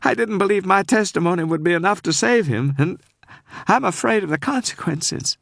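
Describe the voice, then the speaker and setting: A man voicing a frightened, secretive married woman with a Southern accent, halting and near tears, in an audiobook. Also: mid-range voice, lively intonation, fairly bright tone, dry recording, clean studio sound, pitched above the performer's own voice. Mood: distraught. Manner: halting, sobbing, pleading. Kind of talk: audiobook character voice.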